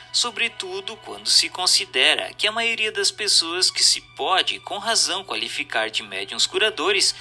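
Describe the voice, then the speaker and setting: A man's voice: fairly high pitch, pushed and bright. A man reading a scripted narration in a formal, didactic way with careful enunciation. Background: music.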